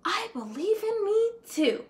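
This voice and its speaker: A woman's voice: extremely high pitch, sing-song, unhurried, slight background noise, voice pushed up, heavily acted. A woman voicing a hopeful young male pig who dreams of the stage, earnest and theatrical, in a storybook reading. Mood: determined.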